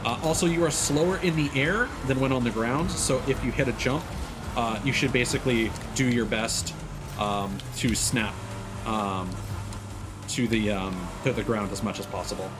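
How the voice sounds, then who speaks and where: A man giving casual, halting gameplay commentary as a live streamer, explaining as he plays. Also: medium pitch. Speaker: live streamer playing a racing game. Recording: game music behind the voice.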